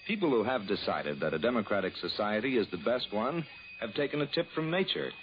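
A man's voice: lowish voice, very animated, brisk. A man delivering scripted 1960s public-service radio narration in a solemn, declamatory way. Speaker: announcer. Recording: muffled old radio recording, faint noise.

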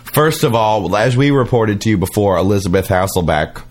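Campy guy podcast host dishing gossip, sassy n bawdy, super lively pitch. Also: normal speed. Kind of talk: comic gossip chat.

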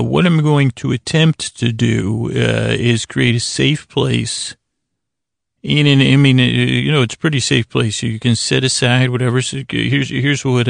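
A man with a gravelly, creaky voice delivers an improvised bedtime podcast monologue. He wanders through tangents, stops to correct himself and apologizes in a whimsical way. The recording is dry and close, with no room sound. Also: mid-range voice, dry recording. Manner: meandering, halting, trails off into tangents and self-corrections. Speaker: podcast host.

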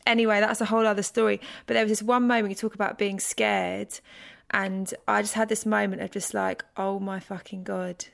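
A woman's voice: medium pitch, level tone, a little fast, gravelly, fairly bright tone. A woman hosting a candid podcast chat, emphatic and swearing freely as she talks. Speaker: podcast host. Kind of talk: candid casual conversation.

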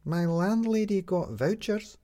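A man voicing a small, sullen, earnest girl in a story, terse and slow. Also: high-pitched, lively intonation, dark tone, pitched above the performer's own voice.

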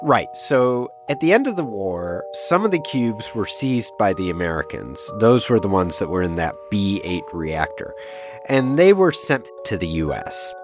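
A man's voice: lowish voice, very animated, measured pace, over music. A man explaining things informally as a science correspondent, telling a story in a conversational podcast style.

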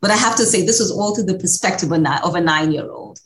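A woman's voice: lowish voice, fast, reverberant. A woman from Kenya with a Kenyan accent, answering interview questions in a reflective, conversational way. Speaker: interview guest, executive director.

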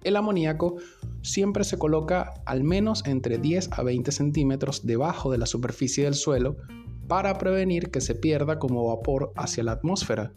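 A man's voice: medium pitch, a little mellow. A man hosting a podcast, telling a story in an informal, conversational way with sardonic asides. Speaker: podcast host. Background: music.

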